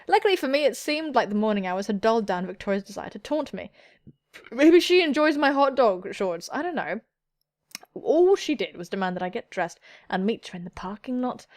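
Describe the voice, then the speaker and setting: A woman hosting a let's play, joking bawdily and excitably as she reads visual novel text aloud. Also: a little high, fairly quick. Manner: bawdy, excitable, self-mocking joking. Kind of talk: playful gaming commentary while reading visual novel text aloud. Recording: music playing behind the voice.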